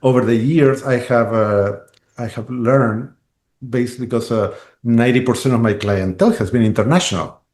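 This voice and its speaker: A man's voice: mid-range voice, moderate pace, darkish tone. Man, chatty, roomy sound. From Chile. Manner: rambling, restarts, many "right?" tags. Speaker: guest. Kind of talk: podcast interview.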